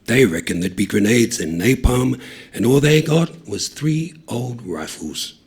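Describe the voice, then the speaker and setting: Man playing a scoffing male bushwalker, dismissive, husky, animated. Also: mid-range voice, a little slow. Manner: dismissive, mocking. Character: scoffing male bushwalker, pub know-it-all.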